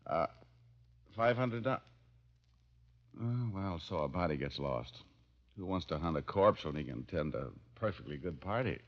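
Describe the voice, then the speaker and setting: A man's voice: rumbling low, lively intonation, a little slow, long pauses, slight background noise. An American man playing a hard-boiled, wisecracking private detective in a vintage radio drama, breezy and sardonic. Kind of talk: 1940s-50s radio detective drama, wry narration. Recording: muffled old radio recording with music.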